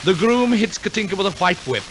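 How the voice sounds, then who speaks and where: Male radio narrator, theatrical and wry, lively swooping delivery, slightly high pitch, 1940s operetta broadcast, noisy old recording. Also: a little fast. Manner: theatrical, wry tongue-in-cheek storytelling.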